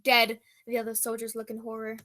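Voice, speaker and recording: Female war correspondent played by an actress: bright, ringing, high voice, pompous and dramatic old-radio reporting. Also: monotone, fairly quick. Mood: grave, emphatic. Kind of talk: old-time radio news report parody.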